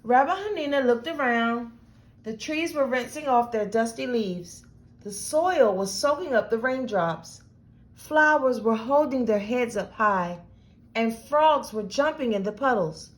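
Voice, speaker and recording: A woman reading a picture book aloud to children as host, with deliberate, dramatic phrasing. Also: a little high, animated.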